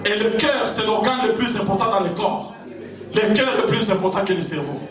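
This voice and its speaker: A man from Congo with a Congolese French accent, preaching an impassioned sermon to a rally. He shouts his refrains in repeated rhythmic cadences. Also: sits high, moderate pace, a crowd in the background. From Congo (DRC). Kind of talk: fiery political sermon. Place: large echoing hall at a gathering. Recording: very echoey hall, far off, music behind. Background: music playing.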